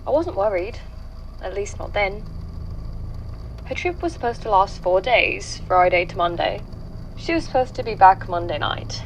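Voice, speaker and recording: A woman acting an anxious young woman giving a halting, confiding first-person statement in an audio drama. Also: mid-range voice, lively intonation, a little hiss or hum, natural voice, poor audio. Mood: uneasy recollection. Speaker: voice actor in a fan fiction audio drama.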